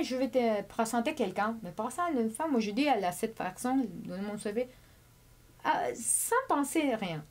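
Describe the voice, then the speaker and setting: A woman's voice: mid-range voice, a little mellow. Woman, native spanish speaker, casual interview guest, hesitant, repeats words. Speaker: immigrant guest.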